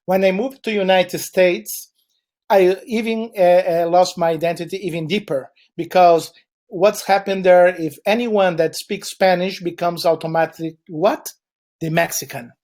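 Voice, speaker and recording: A man's voice: sits high, steady tone, a little slow, darkish tone. A man from Chile with a Brazilian Portuguese accent, Portuguese his first language, giving a webinar presentation in a meandering way with frequent fillers.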